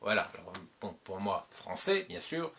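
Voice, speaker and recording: A man's voice: on the low side, very animated, moderate pace, vocal fry, a bit bright, slight background noise. A French-speaking man hosting his channel, giving advice in a casual, rambling monologue full of fillers and repeats. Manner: rambling, repeats himself, many filler "euh"s.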